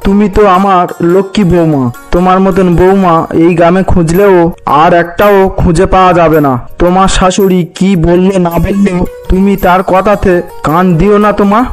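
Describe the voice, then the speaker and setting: A man voicing a kindly older father-in-law in a fairy-tale cartoon, affectionate and coaxing, gently reproving. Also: high voice, level tone, normal speed, faint music behind, lightly acted. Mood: affectionate, delighted.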